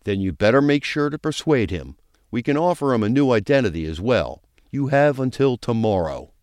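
Man playing a pushy, lively deal-making lawman. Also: medium pitch, normal speed, no room sound, clean studio sound, close to an everyday voice. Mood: persuasive. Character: smooth-talking, pushy male lawman striking deals. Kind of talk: audio drama acting.

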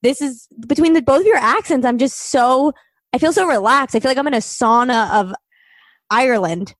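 A woman with a New York accent jokes around as a podcast guest. Her voice is slightly high. It sounds dry and close. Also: moderate pace, dry recording. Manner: playful, joking. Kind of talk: casual chat.

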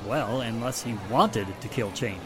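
An actor playing a matter-of-fact, assertive police inspector in the style of a 1940s radio mystery. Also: male voice, medium pitch, moderate pace, natural voice. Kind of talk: 1940s radio drama acting. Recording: music and street sounds behind the voice.

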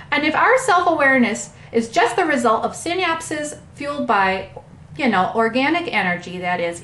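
A woman playing a chatty, folksy artist, rambling with drawn-out exclamations in an interview. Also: high-pitched, fairly bright tone, echoey room. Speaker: interview guest.

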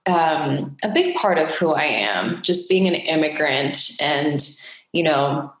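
A woman's voice: low-pitched, very animated, takes it slowly. A woman from Switzerland talking casually and reflectively about herself as an interview guest, with hesitant phrasing. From Geneva, Switzerland. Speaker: podcast guest, actress. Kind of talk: casual personal storytelling in an interview. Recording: very echoey room.

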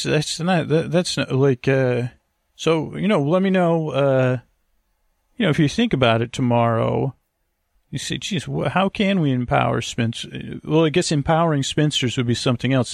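A man hosting a sleep podcast in a creaky, gravelly voice, wandering through a halting, self-deprecating bedtime monologue. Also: medium pitch, no echo, studio quality. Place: wood-panelled lakeside cabin bedroom.

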